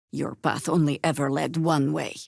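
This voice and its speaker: A woman's voice: low-pitched, fairly even intonation, takes it slowly, vocal fry, dry recording, heavily acted. A woman playing an older, seasoned druid warrior in a fantasy video game, wry, dry and commanding in manner. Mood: grave, knowing.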